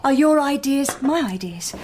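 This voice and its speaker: An actress playing a determined, earnest young Victorian wife turned amateur detective in a radio drama, speaking in a probing way. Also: female voice, high-pitched, animated, unhurried, darkish tone, slight background noise.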